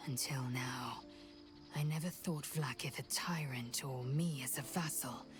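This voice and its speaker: Game role: zealous, imperious warrior woman of an alien race, deep register, deliberate and intense. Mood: proud, reflective. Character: fierce, proud young githyanki warrior woman, zealous and haughty. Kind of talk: dramatic video game acting. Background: background music.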